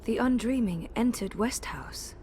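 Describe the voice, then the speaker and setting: An actress playing an uneasy young woman who speaks in a hushed, questioning way. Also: on the high side, measured pace, slight background noise.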